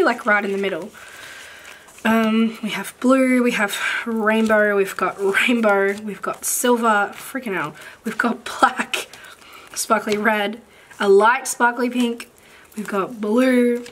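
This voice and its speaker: A woman whose voice is croaky and a little rough from recent voice loss. She talks in a loose, chatty way with plenty of fillers and the odd casual swear. Her pitch is about average, with a faint hiss of noise under the recording. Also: fairly bright tone. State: croaky, recovering from losing her voice. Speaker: planner vlogger. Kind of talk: casual haul chat while sorting stickers. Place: at a desk indoors, filming hands from above.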